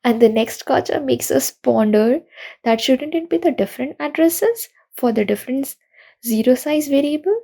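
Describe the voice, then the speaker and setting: A young woman giving a recorded conference talk, explaining programming pitfalls in an earnest, steady way. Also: high-pitched, normal speed, creaky. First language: not Russian. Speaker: conference speaker.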